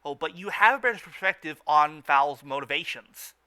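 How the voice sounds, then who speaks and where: A man's voice: on the high side, lively intonation, moderate pace, bright, forceful tone. A man in a casual fan discussion as a podcast co-host, often stammering and repeating words and phrases as he goes. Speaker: podcast co-host reviewing a cartoon.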